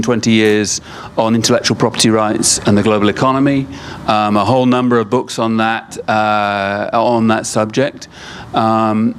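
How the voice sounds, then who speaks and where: A man introducing a guest lecturer in a hall, speaking haltingly into a microphone with many fillers and restarts. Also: lowish voice, slight background noise. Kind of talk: hesitant spoken introduction. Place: lecture room. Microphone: microphone at a lectern.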